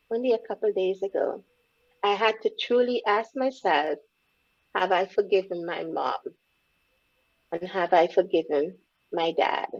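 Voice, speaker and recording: A woman's voice: mid-range voice, dark tone, breathy edge. A woman, speaking reflectively and openly as an interview guest. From Caribbean, born in Grenada, raised in Trinidad. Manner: reflective, speaks openly about hard memories. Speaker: guest, empowerment coach. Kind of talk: candid interview conversation. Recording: dull lo-fi audio.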